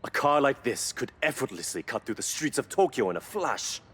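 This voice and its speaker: A man playing a knowledgeable car expert in a video game, explaining in a measured, admiring way. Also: mid-range voice, big pitch swings, a bit bright, husky. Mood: impressed. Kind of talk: scripted video game dialogue.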